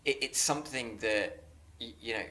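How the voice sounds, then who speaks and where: A man in a conversational interview, speaking earnestly and haltingly, often repeating words as he works through a thought. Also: medium pitch, sharp tone. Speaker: interviewer and business leader.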